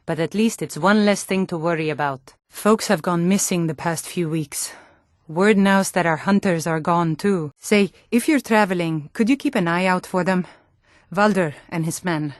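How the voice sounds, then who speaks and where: A woman's voice: fairly low pitch, no room sound, clean studio sound, natural voice. A woman voicing a proud, blunt adult Nordic townswoman in a fantasy video game, with earnest, dramatic delivery. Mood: concerned. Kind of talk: scripted fantasy game dialogue.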